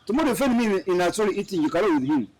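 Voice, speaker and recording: A man from Tanzania hosting a political talk show, animated and quick to laugh. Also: high-pitched, slight background noise, rough recording. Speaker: show host.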